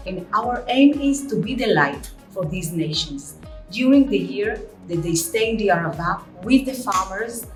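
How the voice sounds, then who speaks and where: A woman, the director and founder of a training center, presenting a guided tour in measured, slightly English. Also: on the low side. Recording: echoey room with music underneath.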